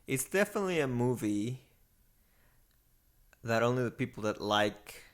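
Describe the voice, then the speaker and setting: A man's voice: medium pitch, animated, moderate pace. A man with a Mexican accent co-hosting a podcast in casual chat, self-deprecating and often searching for words. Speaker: podcast co-host.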